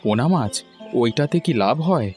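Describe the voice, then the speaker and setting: A man's voice: mid-range voice, expressive, moderate pace, a little mellow, close to an everyday voice. A cautious village farmer in a cartoon , played by a man, with a mid-range male voice. He talks in a homely, slightly hesitant way over background music. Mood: doubtful, worried. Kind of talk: cartoon dialogue.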